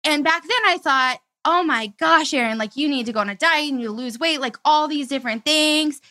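A woman's voice: high-pitched, brisk, sharp tone. A woman hosting a casual interview, talking in a gushing, slangy way. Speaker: host, interviewer. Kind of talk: casual chat.

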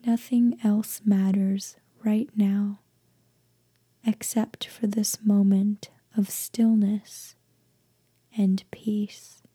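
Female meditation guide, soft half-whisper, very warm and relaxed tone, gentle slow delivery, close dry sound. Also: medium pitch, husky, dry recording. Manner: gentle, hushed, deliberate with long pauses.

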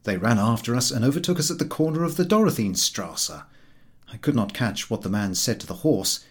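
A man narrating a humorous literary story from a script in an expressive storytelling manner. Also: mid-range voice, brisk, husky. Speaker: audiobook narrator.

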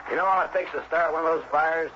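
An actor playing an exasperated county fire chief in an early 1960s radio drama, folksy, opinionated and emphatic. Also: male voice, on the high side, fairly even intonation, fast talker, lightly acted. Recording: dull, faintly noisy vintage radio recording.

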